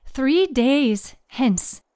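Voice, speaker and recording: A woman's voice: high-pitched, lively intonation, extremely slow, mellow, clean tone, dry recording, clean studio sound, voice pushed up, heavily acted. A woman voicing an earnest, proud girl of twelve with an eager, youthful manner.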